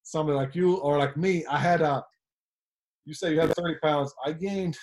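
A man's voice: fairly high pitch, steady tone, moderate pace. A man, a coach, chatting casually and often ending his points with a question. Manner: talkative, ends points with "right?". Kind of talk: casual podcast chat.

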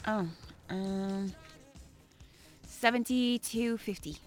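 An actress with an Irish accent playing a nosy, chatty shop assistant in a radio drama. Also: female voice, mid-range voice, steady tone, drawn-out words, faint music behind. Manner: chatty, inquisitive.

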